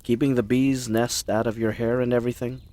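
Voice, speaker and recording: A man's voice: mid-range voice, level tone, brisk, dark tone, close to an everyday voice. A man playing a bumbling, kind-hearted adventuring knight in a roleplay audio drama, rambling to the listener in a hesitant, awkwardly earnest way. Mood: concerned, earnest.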